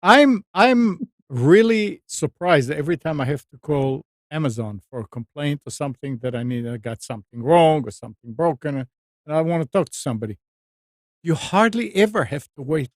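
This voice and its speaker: A man hosting a casual tech news discussion, partly reading out items in a halting way with repeated words. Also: on the high side, animated, no room sound. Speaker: talk show host.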